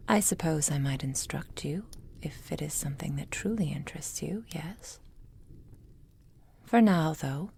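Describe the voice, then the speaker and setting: A woman playing a possessive, manipulative witch lover in an intimate ASMR roleplay, whispering in a formal, measured, softly controlling way. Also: deep voice, a little hiss or hum. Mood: tender, coy. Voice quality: breathy, mostly whispered.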